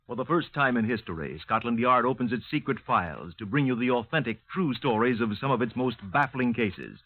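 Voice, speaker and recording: A man's voice: mid-range voice, animated, fairly quick, no room sound. A man announcing formally from a script in the declarative style of 1950s radio. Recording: dry, muffled narrowband vintage radio recording.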